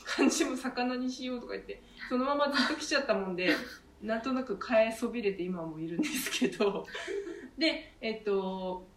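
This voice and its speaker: A woman's voice: a little high, moderate pace. A woman speaking as a theater director and co-host, casually introducing her troupe. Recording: echoey room, distant mic.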